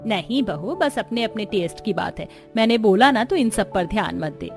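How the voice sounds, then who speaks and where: A woman's voice: medium pitch, expressive, normal speed, a little mellow, close to an everyday voice. Woman voicing a kind, reassuring cartoon mother-in-law over music. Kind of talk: Hindi cartoon voice acting.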